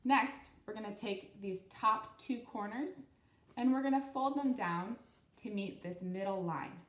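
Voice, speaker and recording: A woman's voice: a little high, unhurried. A woman in her thirties hosting a children's storytime, explaining things simply and encouragingly as she reads and guides young listeners. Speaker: children's storytime host and craft instructor. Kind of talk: read-aloud story and step-by-step craft instruction for kids. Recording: roomy, echoey room sound.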